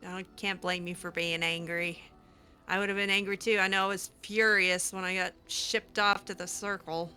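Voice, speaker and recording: A woman's voice: lowish voice, flat delivery, moderate pace, sharp tone, clear, resonant tone. A woman hosting a game playthrough, chatting casually in character with a teasing, sarcastic manner. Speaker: gaming video host, roleplaying as player character. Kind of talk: casual in-character roleplay commentary.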